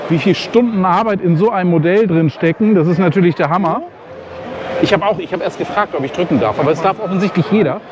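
A man's voice: high voice, fast, highs cut off. Chatty German man vlogging at a racetrack. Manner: chatty, tags sentences with "ne?". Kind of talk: casual walk-and-talk vlog. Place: outdoors at a busy racetrack event. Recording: outdoor noise and crowd.

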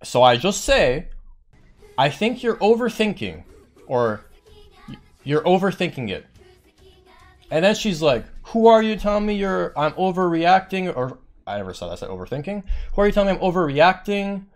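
A man's voice: high voice, normal speed, faint music behind. Agitated man ranting to his stream. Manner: heated, petty, swearing, quotes others in raised voice; says he has a monotone voice.

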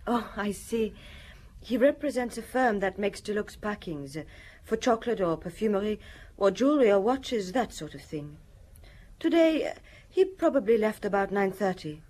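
An actress playing a composed, courteous housewife in a radio drama, answering in a forthcoming, conversational way. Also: female voice, mid-range voice, animated, normal speed, darkish tone, close to an everyday voice. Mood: composed, explaining. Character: polite, composed married housewife answering police questions.

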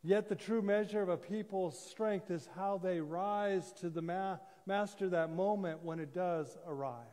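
A man in his sixties preaching a sermon as a pastor, solemn and deliberate, with pauses and repetition. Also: high voice, warm tone. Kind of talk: sermon, measured oratory. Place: church sanctuary, indoors at a lectern. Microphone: gooseneck lectern microphone. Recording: reverberant church hall sound.

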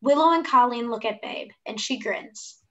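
A woman's voice: a little high, moderate pace. A young woman reading stage directions as scripted narration for a play over a video call. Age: young adult. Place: room at home. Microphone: computer mic on video call.

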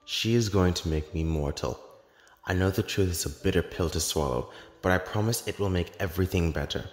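A man playing a grave, lovelorn immortal in an animated drama, speaking earnestly in measured, formal, poetic phrases. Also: low voice, close to an everyday voice. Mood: solemn, tender. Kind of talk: dramatic animated-film voice acting. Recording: echoey hall reverb, music underneath.

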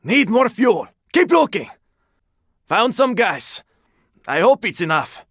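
A man playing a gruff, weary, sardonic squad fighter in a zombie video game, speaking in terse lines with a raspy voice. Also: high-pitched, very animated, takes it slowly, a bit bright, dry recording, clean studio sound, exaggerated voice. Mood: weary, grim. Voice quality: raspy, gravelly. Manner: terse, urgent, swearing. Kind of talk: video game character combat barks.

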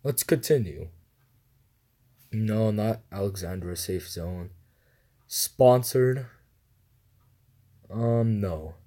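A young man reading guessing-game questions aloud and answering them in a low-key, mumbled way, recorded on a phone. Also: extremely slow, long pauses, dark tone. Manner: mumbled, low-key answers, livelier when starting a new round. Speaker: gamer playing a guessing game. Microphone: phone screen recorder.

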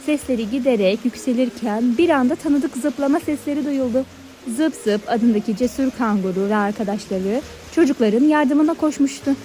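A woman narrating a scripted fairy tale for children in a clear storybook manner. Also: a little high, normal speed, mellow. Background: background music.

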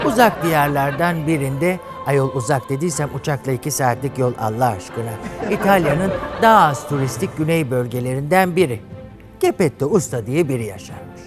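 A man hosting a comedy show, telling a bawdy fairy tale in a campy, flamboyant way. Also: fairly high pitch. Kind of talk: bawdy comic storytelling to a studio audience. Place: TV studio with live audience. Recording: studio audience laughter and music behind.

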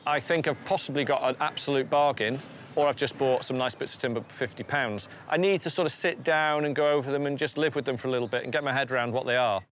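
A man's voice: mid-range voice, fairly bright tone, slight background noise. A middle-aged British man, a plain-spoken antiques dealer, haggling and commenting informally. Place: outdoors in a scrapyard. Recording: music behind the voice.

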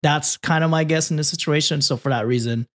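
A man's voice: a little high, fairly quick. A man co-hosting a podcast with casual, self-deprecating, sweary banter. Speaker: podcast co-host.

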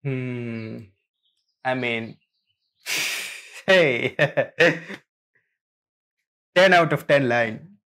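A man casually reacting to game trailers, speaking in a hesitant, halting way. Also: mid-range voice, fairly bright tone. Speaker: reaction video host.

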